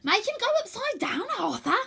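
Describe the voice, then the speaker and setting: Woman doing a daredevil young boy, eager, bellowing, high, very animated, storybook character. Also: moderate pace, vocal fry, full character voice. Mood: thrilled. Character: reckless, excitable young boy, thrilled by danger.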